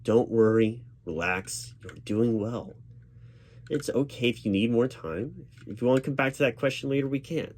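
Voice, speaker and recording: A man doing a spoken ASMR job interview roleplay as a reassuring, gently professional interviewer who speaks a little hesitantly. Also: medium pitch, normal speed. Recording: clear background noise of keyboard typing. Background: computer keyboard typing.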